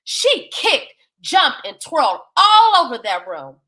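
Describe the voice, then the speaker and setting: A woman reading a children's bedtime story in an expressive, dramatic, folksy way. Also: sits high, takes it slowly.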